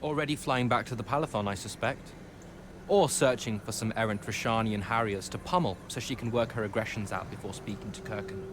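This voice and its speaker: An actor playing a dutiful, reproachful soldier in a game cutscene, with a dry, scolding manner. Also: male voice, lowish voice, brisk, background noise, close to an everyday voice. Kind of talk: dramatic video game cutscene acting.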